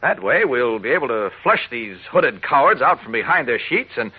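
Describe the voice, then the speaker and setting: An earnest, resolute man in an old radio drama. His persuasive delivery swings widely in pitch, with a slightly husky edge. The recording is muffled and dated. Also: big pitch swings, moderate pace. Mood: earnest, urgent. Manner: persuasive, emphatic. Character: earnest, resolute man urging a frightened family to stand firm. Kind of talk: 1940s radio drama acting.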